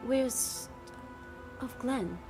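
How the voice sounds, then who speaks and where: An actress playing an anxious, guarded captive handmaid in an audio drama, in dialogue and intimate inner monologue. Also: female voice, a little high, drawn-out words, music in the background. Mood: guarded, hesitant.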